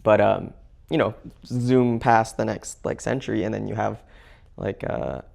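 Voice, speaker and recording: Young man, low and gravelly, casual and hesitant interview talk. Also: a little mellow. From Seattle area, United States. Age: young adult. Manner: halting, many fillers and restarts. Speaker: interview guest. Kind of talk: casual conversation.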